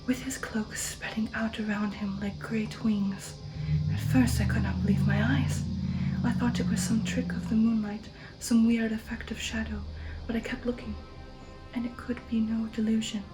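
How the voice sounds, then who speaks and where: A woman giving an ASMR reading of a gothic novel in a soft, breathy whisper, slow, hushed and measured, close to the microphone. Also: on the high side, flat delivery, over music, poor audio. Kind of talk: ASMR audiobook narration.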